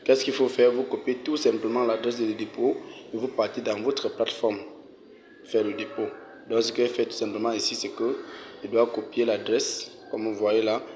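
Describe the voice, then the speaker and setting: Guy talking low n flat walking u thru a screen tutorial, lots of ok and euh, clears his throat a bunch, bit of hiss. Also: moderate pace. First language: French. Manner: repeats steps, frequent "ok" and "euh", clears throat often. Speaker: channel host giving a tutorial. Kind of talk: casual screen-recorded walkthrough.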